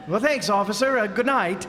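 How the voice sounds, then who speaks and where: A man's voice: sits high, pushed and bright, exaggerated voice. A man playing John, a grumbling, put-upon and exhausted husband, in an old-time radio comedy staged live in a reverberant hall. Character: John, a grumpy, exhausted middle-aged husband. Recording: live stage recording with long reverb and faint noise.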